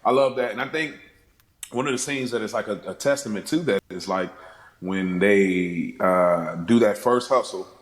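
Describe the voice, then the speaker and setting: A man casually discussing a film, retelling things in an animated way with acted-out quotes and frequent chuckles. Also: lowish voice, moderate pace. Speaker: podcast panellist. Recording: echoey room.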